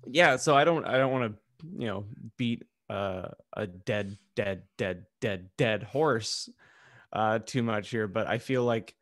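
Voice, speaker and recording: A man's voice: lowish voice, unhurried, fairly bright tone, no room sound, clean studio sound. A man co-hosting a podcast, talking casually in a rambling, jokey, sometimes crude way. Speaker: podcast co-host. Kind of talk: casual group banter and opinion.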